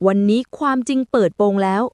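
A woman's voice: quick pace, dry recording, clean studio sound, close to an everyday voice. Woman acting a stern, commanding village headman. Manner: loud, clear, commanding. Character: stern village headman, loud, firm and authoritative.

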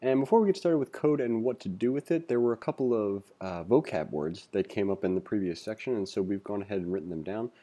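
A man's voice: fairly low pitch, lively intonation, quick pace, few pauses, mellow. A man hosting a casual read-along tutorial, reading aloud and adding informal asides to the viewer. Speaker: tutorial host.

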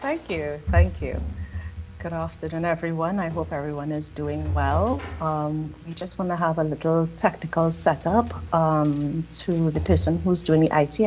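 A middle-aged woman with a Trinidadian accent gives a measured, deliberately slowed insurance presentation over a video call. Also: low voice, a little hiss or hum, rough recording. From Trinidad and Tobago. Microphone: video call on laptop, played through room speakers.

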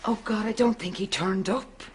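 An actress playing a dry, caring grown-up daughter in a studio sitcom. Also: female voice, mid-range voice, fairly even intonation, normal speed, very noisy, lightly acted. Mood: dry concern.

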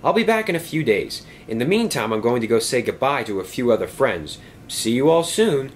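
A man's voice: medium pitch, big pitch swings, brisk, slight background noise, natural voice. A man voicing a cheerful, friendly male engine who talks in an upbeat way.

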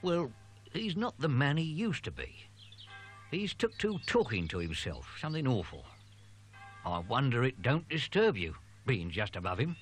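A man's voice: mid-range voice, huge pitch swings, normal speed, soft background music, voice pushed up. A male narrator voicing an old male college servant who is worried, deferential, and speaks with working-class grammar. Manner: working-class speech, humble, says sir often.

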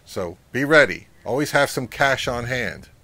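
A middle-aged man giving advice to camera as a channel host, speaking in a measured, instructive way. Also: medium pitch, expressive, sharp tone. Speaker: host of a vintage audio channel. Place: outdoors, among trees or on a porch.

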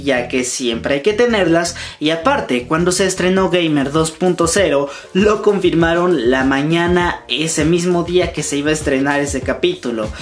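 A man in his twenties hosting a fan news vlog, speaking emphatically and directly to his viewers from a room at home. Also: sits high. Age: 20s. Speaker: YouTube host. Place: small room at home, indoors. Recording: music behind the voice. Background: background music.

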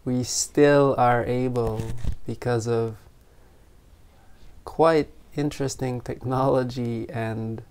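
A meditation teacher of unclear sex guiding a group in gentle, spontaneous talk, unhurried, with long pauses between short phrases. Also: mid-range voice, steady tone, clear, resonant tone. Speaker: meditation teacher leading an online retreat.

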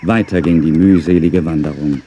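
A man's voice: a bass voice, steady tone, normal speed, warm tone. A man narrating a scripted children's audio story.

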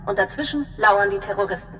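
Woman acting businesslike spy agency officer, measured and precise, game cutscene, reverby, slight noise. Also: bright, forceful tone, close to an everyday voice. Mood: composed, businesslike. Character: composed, businesslike female intelligence briefing officer. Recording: long reverb, faint rumbling noise.

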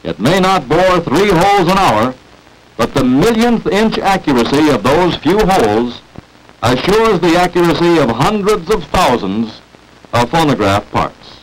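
An American man reading scripted narration for a 1940s promotional industrial film, with emphatic, salesmanlike, deliberate phrasing, on an old film soundtrack. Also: fairly high pitch, very animated, low-quality recording.

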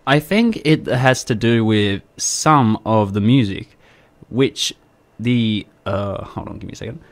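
A man with an Australian accent co-hosting a radio chat show, giving his take on a film in measured, slightly formal phrasing. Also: on the low side, animated. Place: community radio studio.

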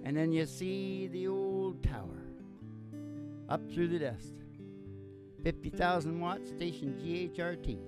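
A man's voice: fairly high pitch, lively intonation, unhurried, pauses often. A man in his sixties hosting with playful, theatrical, rambling folksy comic radio patter into a stage microphone. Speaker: host and country singer. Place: small curtained stage, indoors. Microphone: stand microphone. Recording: live stage, acoustic guitar behind. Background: acoustic guitar.

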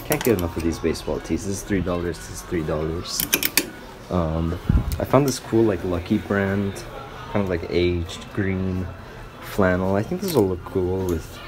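A young man talking casually to the camera in a rambling, slangy vlog style, saying "like" often. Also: low voice. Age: young adult. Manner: rambling, loose and slangy, with frequent "like". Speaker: vlogger. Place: parked car, later small store fitting room. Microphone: camera or phone at arm's length.

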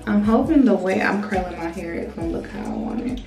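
A woman's voice: mid-range voice, a little fast. A woman in her late twenties chatting casually to her vlog camera while doing her hair, drawling and talking to viewers as y'all. She is a little under the weather. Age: 20s. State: a little sick. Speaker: vlogger. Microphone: small camera on tripod. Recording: roomy echo, mic at a distance, music behind.

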